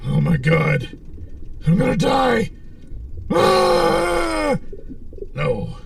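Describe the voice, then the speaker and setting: A man's voice: extremely high pitch, wildly swooping pitch, drawn-out words, creaky, exaggerated voice. A man playing a pompous, hapless armored superhero in a comic audio serial, boastful and melodramatic, easily exasperated, recorded distantly with noise behind him. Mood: panicked. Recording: distant, roomy sound with background noise.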